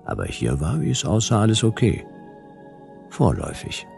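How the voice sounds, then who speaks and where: An actor playing a wry, world-weary private detective in a sci-fi radio drama, with laconic, deadpan narration and dialogue. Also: male voice, lowish voice, normal speed, vocal fry, faint music behind, exaggerated voice. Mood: dry, wry.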